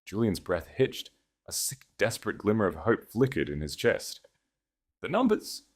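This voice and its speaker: A man's voice: fairly low pitch, very animated, normal speed, husky. A man reading scripted audiobook narration in a dramatic storytelling style.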